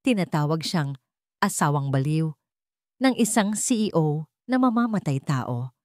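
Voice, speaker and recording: Female Filipino storyteller for dramatic fiction narration; slightly low voice, close dry recording. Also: darkish tone, dry recording.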